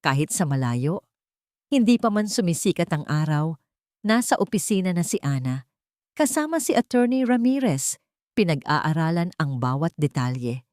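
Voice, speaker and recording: A woman narrates a scripted romantic drama. Her voice sits a little low. The recording is dry, with hardly any room sound. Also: big pitch swings, normal speed, a little mellow, no echo. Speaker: story narrator.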